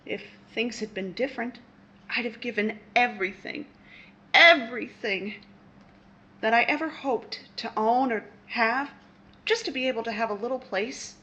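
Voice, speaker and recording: An actress playing an earnest, wistful young wife on trial in a 1950s radio melodrama. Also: female voice, a little high, moderate pace, bright, forceful tone, highs cut off. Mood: wistful, pleading.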